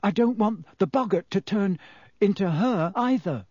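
A timid teenage schoolboy, as a male audiobook reader puts him on, answering in a hesitant, nervous way. The voice is pushed up in pitch, and the sound is dry and close. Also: lively intonation, moderate pace, a little mellow, breathy edge, dry recording.

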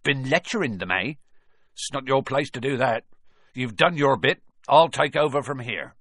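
Man doing a gruff kindly giant gamekeeper, rustic talk, growly, dry sound. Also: mid-range voice, lively intonation, normal speed, a bit bright, dry recording, pitched above the performer's own voice.

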